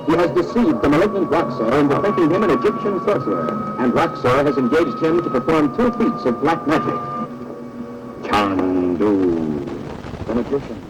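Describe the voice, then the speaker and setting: A man's voice: medium pitch, sing-song, normal speed, long pauses, rough recording. A man narrating a vintage radio serial in a formal, dramatic style. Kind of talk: 1930s-40s radio scripted narration. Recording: old radio broadcast, loud music.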